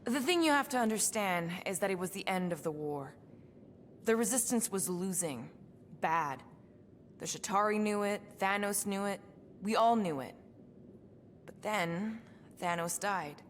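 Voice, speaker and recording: An actress playing a guarded, serious female assassin in a video game, speaking in a measured, dry, deliberate way. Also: mid-range voice, lively intonation, long reverb tail, a little hiss or hum, natural voice. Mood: grave. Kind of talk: dramatic video game acting.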